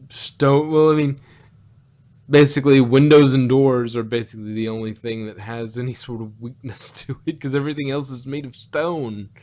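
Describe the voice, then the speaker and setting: A man in casual conversation as a podcast co-host. His voice sits at an average male pitch. He talks in a halting, rambling way, doubling back on words as he reviews. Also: steady tone, a little mellow.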